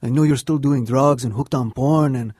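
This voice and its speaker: Man acting earnest young brother, raspy-edged, dry sound, radio drama. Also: mid-range voice, fairly even intonation, moderate pace, mellow, no room sound, natural voice.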